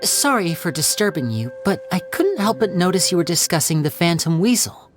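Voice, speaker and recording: Courteous, brisk young investigator; animated pitch, light music bed. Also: female voice. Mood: curious. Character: polite, curious young woman investigator.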